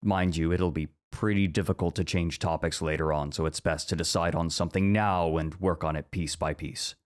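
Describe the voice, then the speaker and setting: A man playing a bookish, earnest, easily flustered professor in an ASMR roleplay, with a measured, articulate and slightly stuffy way of talking. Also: deep voice, steady tone, lightly acted. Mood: encouraging, attentive.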